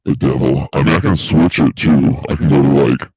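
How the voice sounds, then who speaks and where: A man using a soundboard pitch-down effect to voice a crude, growling male devil. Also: steady tone, a little fast, a little mellow, breathy edge, no room sound, exaggerated voice. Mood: menacing. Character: demonic deep devil voice, male. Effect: soundboard pitch-down filter.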